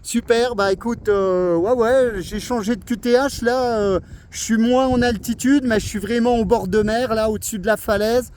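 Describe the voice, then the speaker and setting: A man with a high-pitched voice chats casually as an amateur radio operator. He is outdoors, with some steady ambient noise around him. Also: moderate pace, few pauses. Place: outdoors on a seaside cliff.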